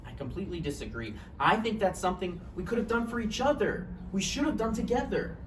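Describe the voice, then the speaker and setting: A woman's voice: medium pitch, lively intonation, fairly quick, close to an everyday voice. A woman playing an insecure, wounded boyfriend who needles and pleads in a live table read of a relationship play. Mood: pleading. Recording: echoey, noisy room, distant mic.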